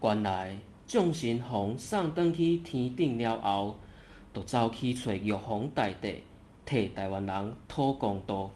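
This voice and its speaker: A man's voice: medium pitch, slow, background noise, lightly acted. A man playing a watchful deity who narrates a folk legend in a scripted storytelling style. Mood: storytelling, assured.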